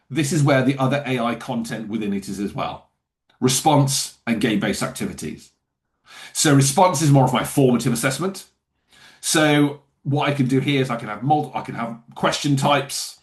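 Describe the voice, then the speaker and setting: A man walking viewers through software in a webinar, talking casually and loosely with fillers and self-corrections. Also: medium pitch. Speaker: software trainer. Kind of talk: webinar screen-share walkthrough.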